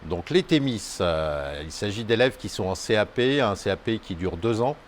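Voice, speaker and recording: Hesitant low-voiced male teacher. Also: expressive, normal speed, a little hiss or hum. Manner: hesitant, many fillers. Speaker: vocational school teacher. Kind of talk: school video interview.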